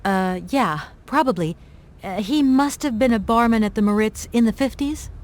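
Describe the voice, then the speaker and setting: A woman's voice: fairly high pitch, normal speed, darkish tone, lightly acted. A woman playing a determined, polite young adventurer in a video game, speaking in an earnest, courteous way. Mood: earnest, urgent. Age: young adult.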